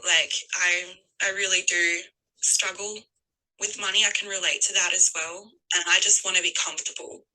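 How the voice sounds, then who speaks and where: A woman in her twenties talking unscripted and from the heart on a call, earnest and effusive, with halting, emphatic pauses. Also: on the low side, steady tone, sharp tone, rough recording. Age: 20s. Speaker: guest on a call.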